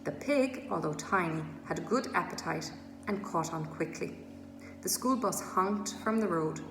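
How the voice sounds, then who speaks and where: A woman's voice: fairly low pitch, unhurried, creaky, over music. A woman reading a children's storybook aloud as a scripted narration. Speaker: storybook reader. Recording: echoey room with steady noise.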